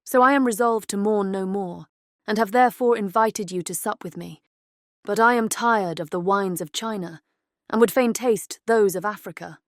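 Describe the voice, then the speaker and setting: A woman's voice: medium pitch, moderate pace, no room sound, close to an everyday voice. Woman acting a devoted young storybook princess, dry sound. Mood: feigned welcome. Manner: formal, archaic storybook phrasing. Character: sorrowful, devoted young fairy-tale princess. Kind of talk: dramatized audiobook character acting.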